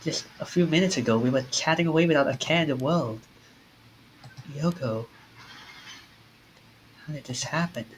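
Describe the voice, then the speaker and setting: A man's voice: a little high, normal speed, pauses often, slight background noise, close to an everyday voice, low-quality recording. A man voicing a frightened young man whose friend has collapsed, reading a visual novel aloud and stammering in panic, with game music behind. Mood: grieving, resolved. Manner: stammering, panicky, then quietly resolved.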